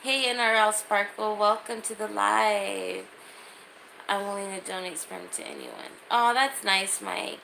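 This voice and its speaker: Slow-talking, gravelly woman chatting on livestream. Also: medium pitch, pushed and bright, a little hiss or hum, rough recording. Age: 30s. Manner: chatty, informal. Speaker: livestream host. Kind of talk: casual livestream chat, reading and answering comments. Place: small indoor room. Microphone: phone at arm's length.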